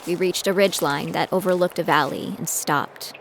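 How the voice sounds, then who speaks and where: A woman's voice: lowish voice, moderate pace, slight background noise, lightly acted. An actress playing a determined young female bounty hunter in training, narrating her own story in an audio drama. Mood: tense, reflective. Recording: loud music bed behind voice.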